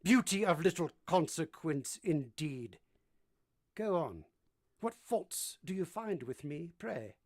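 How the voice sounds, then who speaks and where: A woman playing a brusque, moody gentleman master in a vintage-style radio drama, commanding and teasing as they question. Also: low voice, big pitch swings, slow, full character voice. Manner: imperious, teasing, theatrical questioning.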